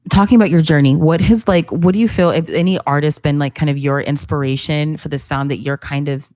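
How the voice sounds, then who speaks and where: A woman's voice: deep voice, steady tone, a little fast, darkish tone, no echo, studio quality. A woman co-hosting a radio show, upbeat and encouraging as she asks questions. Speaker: radio co-host. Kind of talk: casual interview chat.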